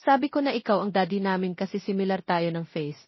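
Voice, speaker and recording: A woman's voice: mid-range voice, expressive, brisk, no echo, lo-fi sound, natural voice. A woman voicing a sweet, clingy little boy in a romance audio story, childlike and eager. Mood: eager, pleading. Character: sweet, clingy little twin boy wanting his father.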